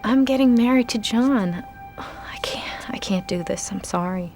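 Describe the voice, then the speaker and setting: An actress as a gentle young love interest in a video game, full of regret. She speaks slowly and softly in a medium-pitched voice, with clear music playing behind her. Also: lightly acted. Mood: regretful, pained.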